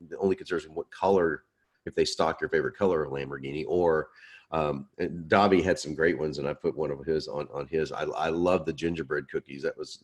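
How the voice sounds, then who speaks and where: Deep male teacher, loose storytelling delivery, laughs easily. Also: darkish tone, slightly rough. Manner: chatty, self-deprecating, laughs often. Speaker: instructor. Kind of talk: online class Q&A.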